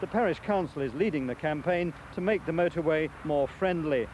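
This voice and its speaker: A man's voice: fairly high pitch, brisk. A man delivering a scripted television news report. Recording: dull sound, faint outdoor traffic noise.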